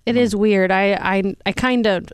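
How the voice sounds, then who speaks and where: A woman's voice: medium pitch, steady tone, a little slow, smooth, no echo, clean studio sound. A woman in her thirties co-hosting a casual chat, supportive and reflective, with frequent affirmations. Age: 30s. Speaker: co-host.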